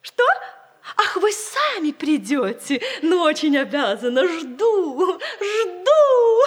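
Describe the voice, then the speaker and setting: Casting: coquettish middle-aged matron, very high swooping voice, gushing, giggly, for vintage radio comedy. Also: female voice, sing-song, takes it slowly, full character voice. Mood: fluttery, excited. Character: flirtatious, theatrical, much-married Polish mother. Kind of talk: 1960s radio comedy acting.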